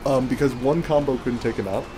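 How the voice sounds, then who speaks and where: A man's voice: mid-range voice, fairly quick, over music. A man giving casual game commentary as the host, explaining things in a conversational way. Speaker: gaming video host.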